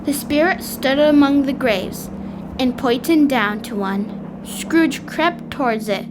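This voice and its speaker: Slow young narrator, high voice. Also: female voice, noisy background. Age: child voice, third grader. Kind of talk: school radio play narration.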